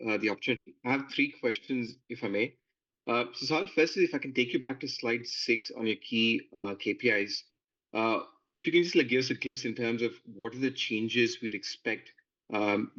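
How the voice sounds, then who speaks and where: A male financial analyst asking questions on an earnings call in a polite, halting way. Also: medium pitch, fairly bright tone. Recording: muffled conference call line.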